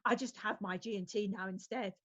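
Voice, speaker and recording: A woman's voice: medium pitch, fairly even intonation, fairly quick, fairly bright tone, close to an everyday voice. A woman playing a cheeky, gossipy older neighbour in an amateur comedy sketch. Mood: gloomy chatter.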